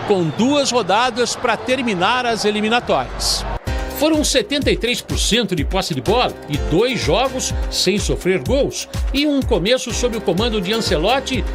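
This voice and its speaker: A man's voice: high voice, animated, normal speed. A man narrating a scripted sports news report with emphatic delivery. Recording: voice-over with loud music bed.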